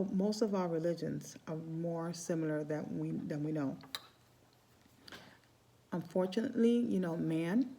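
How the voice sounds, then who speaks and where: Rambling woman with a mellow low voice. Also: creaky. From Cuba. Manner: warm, rambling, restarts phrases often. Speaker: Santeria priestess. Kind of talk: casual spiritual vlog talk.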